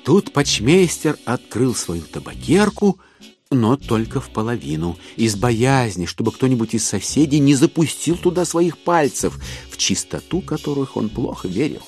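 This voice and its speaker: An actor as the narrator of an audio play, telling a literary story with dry irony. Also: male voice, medium pitch, big pitch swings, moderate pace, darkish tone, faint music behind, close to an everyday voice. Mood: wry narration.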